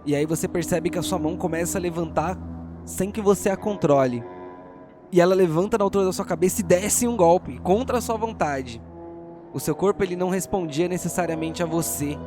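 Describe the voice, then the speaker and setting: Brazilian male game master, tabletop storytelling, somewhat high voice, dramatic narration that addresses players, clear music bed. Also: brisk. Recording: music behind the voice.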